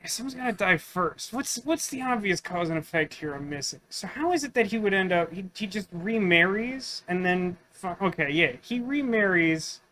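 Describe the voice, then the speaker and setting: A man's voice: sits high, lively intonation, moderate pace, hardly any pauses, pushed and bright, a little hiss or hum. A man doing casual let's play commentary, musing and thinking aloud as he works through puzzles. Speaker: gaming video host.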